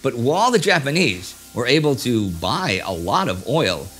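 A man's voice: on the high side, fairly bright tone. Middle-aged male history host, wry asides. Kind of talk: scripted history presenting. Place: indoor studio set styled as an office.

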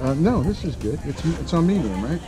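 A man's voice: a little high, very animated, a little fast, music in the background. A man hosting a casual cooking chat outdoors, asking easygoing, curious questions. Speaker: show host. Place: backyard grill, outdoors.

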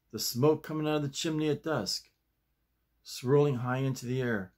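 An older man around seventy with a plain, halting delivery, talking and reading at his desk at home. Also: mid-range voice, gravelly. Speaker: YouTube host and playwright reading own work. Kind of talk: script reading and casual vlog talk. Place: small home office, indoors.

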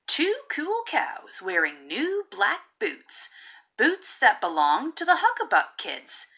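Bright, very animated woman storybook reader. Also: high voice, husky. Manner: rhythmic, theatrical, drawn-out emphasis. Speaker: read-aloud narrator of children's picture books. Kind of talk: expressive storybook reading.

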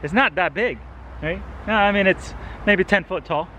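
A man's voice: sits high, moderate pace, pushed and bright, highs cut off, slight background noise. A man in his thirties from El Salvador, hosting a casual gardening vlog in a yard and enthusing over the fruit he tastes. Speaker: gardening channel host. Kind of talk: casual outdoor vlog chat. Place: residential yard outdoors. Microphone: handheld camera at arm's length.

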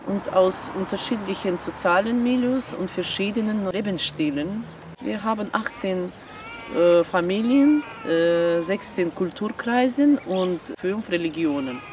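A woman from Azerbaijan, a member of a community garden, speaking in halting non-native German in a documentary interview in an outdoor garden. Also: mid-range voice, far from the mic, background noise.

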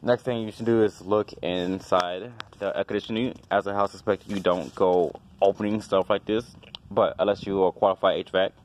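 A man's voice: deep voice, a little hiss or hum. A man who is a house inspector and HVAC tech, giving a casual, rambling walkthrough with offhand, dismissive asides, filmed on a handheld phone outdoors beside a house and in a basement utility room. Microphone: handheld phone camera.

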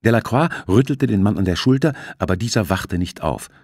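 A man narrating a thriller novel as an audiobook, with measured, dramatic storytelling. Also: lowish voice, lively intonation, a little mellow, slightly rough, dry recording, clean studio sound.

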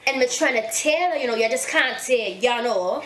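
A young woman chatting and joking with a friend in a small home kitchen, sassy and quick to laugh. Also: a little high, animated, roomy sound, very noisy. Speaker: guest vlogger. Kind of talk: casual chat.

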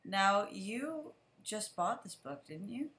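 A woman teacher hosting a casual chat with a guest over a video call, relaxed and chatty. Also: medium pitch, takes it slowly. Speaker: teacher and show host. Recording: echoey room.

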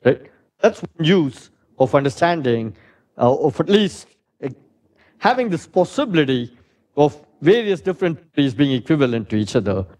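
A middle-aged man with a loud voice giving a physics lecture in a lecture hall, thinking aloud with many fillers and "right?" tags. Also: fairly high pitch, very animated, normal speed. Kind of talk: academic lecture.

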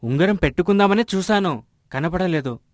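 A man plays An earnest, generous villager from an animated moral tale. He explains things plainly in a slightly raised male voice, with a dry, close sound. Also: high voice, normal speed, no room sound. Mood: concerned. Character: earnest, generous village husband missing a ring. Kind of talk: animated moral story dialogue.

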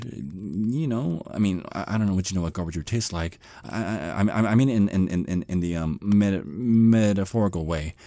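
Man voicing a shy male vampire, ASMR roleplay, deep pitch, nervous stammer, apologetic rambling, dry recording. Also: mellow, no room sound. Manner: stammering, hesitant, flustered, rambling. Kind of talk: ASMR roleplay monologue, conversational.

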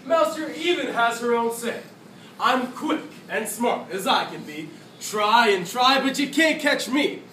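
Bright, animated young stage storyteller, echoey room. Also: medium pitch, unhurried. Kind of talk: scripted storytelling, readers theatre. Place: classroom, indoors. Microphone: distant camera. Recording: echoey classroom from far, faint noise.

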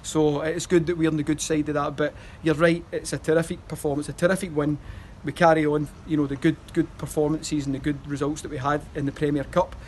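A man's voice: a little high, flat delivery, fairly quick, few pauses, a little mellow, a little hiss or hum. A Scottish man in his thirties, a football manager giving a post-match interview outdoors, talking in rambling runs with fillers and restarts. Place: outdoors by a stadium wall.

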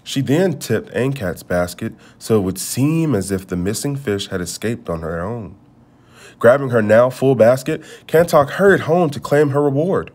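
A man narrates a storybook in measured phrases. His mid-pitched voice moves up and down in a lively way as he reads aloud. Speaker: storybook reader.

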